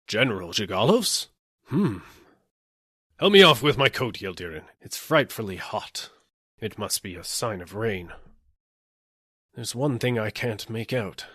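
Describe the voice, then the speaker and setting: A self-important police superintendent played by a male reader blusters through a storybook tale. He is stern and bossy, with a very animated, swooping delivery, recorded close and dry. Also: on the low side, measured pace, pauses often, husky, no room sound, exaggerated voice. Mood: blustering, suspicious. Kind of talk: audiobook character acting.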